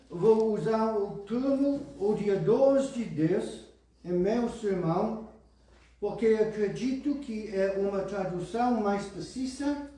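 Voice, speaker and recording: A man preaching a sermon in Portuguese with a foreign accent, reading scripture slowly and deliberately with small restarts. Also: sits high, warm tone. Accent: English-speaking foreigner speaking Portuguese. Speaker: pastor. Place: church hall. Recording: roomy church hall, voice from far off.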